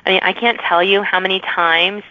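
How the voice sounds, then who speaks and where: A woman's voice: mid-range voice, steady tone, brisk, dry recording, dull audio. A woman hosting a podcast, talking in a casual, chatty, informal way with emphatic asides. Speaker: podcast host. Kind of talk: casual interview chat.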